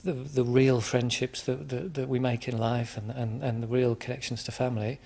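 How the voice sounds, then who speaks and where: A man from England answering interview questions in a hesitant, reflective way, with frequent restarts and pauses. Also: medium pitch, level tone, creaky, darkish tone. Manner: hesitant, many restarts and ums. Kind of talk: conversational interview.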